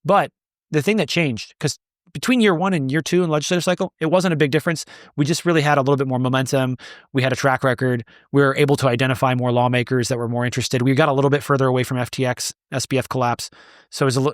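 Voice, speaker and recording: A man's voice: mid-range voice, no echo, studio quality. A man answering interview questions as the founder of an advocacy group, speaking emphatically and repeating words as he builds a point.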